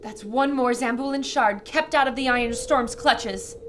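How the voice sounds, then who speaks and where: An actress playing an earnest young radio reporter, describing events to listeners in an audio adventure drama. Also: female voice, a little high, normal speed, background noise, lightly acted. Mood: hopeful, solemn.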